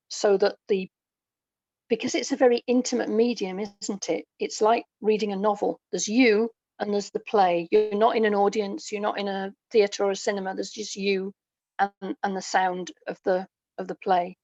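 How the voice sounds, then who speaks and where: A woman's voice: mid-range voice, steady tone, moderate pace, darkish tone. A woman being interviewed as a guest, explaining her writing craft thoughtfully in a conversational way, on a lo-fi recording. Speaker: interview guest, audio drama writer. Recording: dull, lo-fi, close dry sound.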